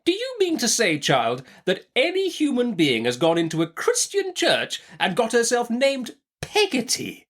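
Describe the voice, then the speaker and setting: A man's voice: extremely high pitch, wildly swooping pitch, unhurried, hardly any pauses, fairly bright tone, pitched above the performer's own voice, full character voice. A man voicing an imperious, brusque older woman, a domineering great-aunt in an audiobook of a classic novel, with an emphatic, commanding manner. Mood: indignant, commanding.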